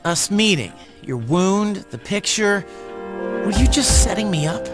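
A man playing a brash, emotional teenage boy in a video game, talking in a slangy, expressive, defensive way. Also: sits high, a little slow, music in the background. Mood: wounded, defensive.

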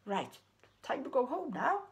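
A woman's voice: sits high, sing-song, unhurried, pitched above the performer's own voice, full character voice. A woman voicing a bouncy, friendly little rabbit in a puppet story, chirpy and a bit bossy.

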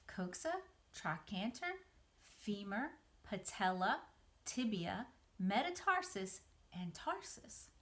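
A woman's voice: mid-range voice, big pitch swings, slow, a bit bright. A woman voicing a clever, matter-of-fact female spider who teaches kindly and precisely in a children's storybook. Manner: precise, instructive.

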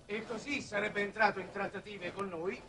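An actor playing an idealistic, wordy head of a space commission in a 1960s Italian television drama, oratorical and halting. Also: male voice, high voice, fairly even intonation, bright, forceful tone. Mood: flustered, formal. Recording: noisy old television soundtrack.

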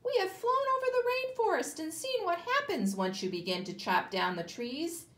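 A woman voicing a stern, worried toucan with a high, squawking voice. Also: animated, moderate pace, fairly bright tone, heavily acted. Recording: echoey room.

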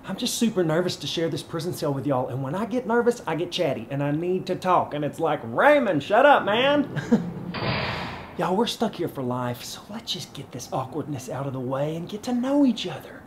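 Man as chatty male prison cellmate, nervous, gushing, over-friendly, very lively pitch, comedy sketch. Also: fairly quick, a little hiss or hum, lightly acted. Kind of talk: sketch comedy character monologue. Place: small indoor room.

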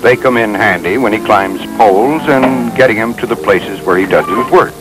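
An American man reading scripted narration for a 1960s training film in a wry, measured manner. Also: on the low side, low-quality recording. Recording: old film soundtrack, music behind.

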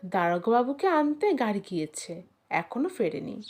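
A meek male employee voiced by a woman speaks humbly and politely in a fairly high register. He is a deferential storybook character, with faint music underneath. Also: very animated, moderate pace, a little mellow. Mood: humble. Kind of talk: audio storybook character dialogue.

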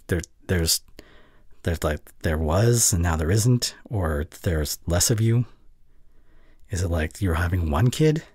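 A young man playing an anxious, caring boyfriend in an intimate ASMR roleplay, speaking softly and hesitantly close to the mic. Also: low voice, no echo, heavily acted. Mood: nervous, stalling. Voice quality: soft, breathy. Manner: gentle, hesitant, murmuring reassurance. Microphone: close microphone.

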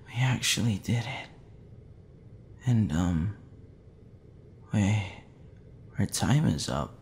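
A man playing a tipsy, smitten young man in an intimate ASMR roleplay, shy, tender and halting in a hesitant murmur. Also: fairly low pitch, expressive, a little mellow, a little hiss or hum, voice pushed down, full character voice. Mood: surprised, amused.

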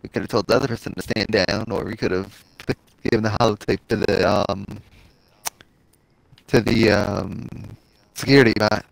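A man's voice: lowish voice, fairly even intonation, normal speed, vocal fry, dry recording, poor audio. A man who streams games, chatting casually with teammates and sometimes searching for words. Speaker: game streamer. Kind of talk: casual chat with teammates while gaming.

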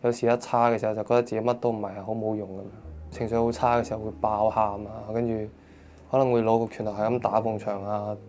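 A man's voice: fairly low pitch, level tone, fast talker, a little mellow, slight background noise. A man, a patient giving a candid personal testimony in short bursts. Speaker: patient in a support program.

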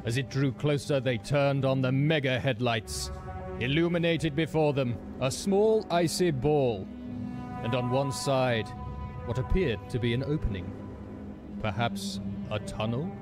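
Male comic radio-play narrator, steady storyteller, music behind. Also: medium pitch, a little slow.

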